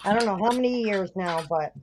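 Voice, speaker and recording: A woman's voice: medium pitch, brisk. A woman with a Chicago accent chatting casually on a craft stream, dragging out her words and laughing often. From Chicago, USA. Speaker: craft livestream guest. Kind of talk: casual chat. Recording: dull lo-fi stream audio with faint hiss.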